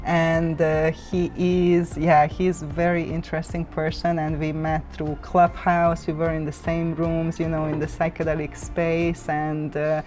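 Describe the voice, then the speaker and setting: Woman podcast host with a lower-pitched voice. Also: level tone, a little slow, few pauses, a little mellow, soft background music. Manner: enthusiastic. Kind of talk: casual podcast interview.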